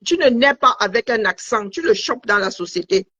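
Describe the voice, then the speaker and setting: A man's voice: sits high, lively intonation, moderate pace, sharp tone. A man hosting a live-stream panel, teasing and talking over his guest, trailing off into fillers, on lo-fi phone audio.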